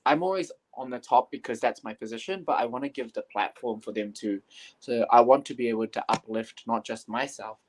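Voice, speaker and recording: A man's voice: medium pitch, a little fast, slight background noise. A young man from New Zealand, a teacher whose first language was Māori, talking casually as a guest with lots of fillers and restarts. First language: Te Reo Māori. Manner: rambling, many fillers and restarts. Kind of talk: casual interview chat.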